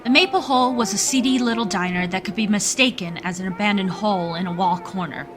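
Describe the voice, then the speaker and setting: A woman's voice: medium pitch, quick pace, background noise. A woman narrator reading scripted storytelling narration for a radio play.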